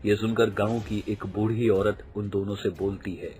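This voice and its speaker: A man's voice: fairly low pitch, level tone, normal speed, darkish tone, faint music behind. A man narrating a scripted story as its storyteller.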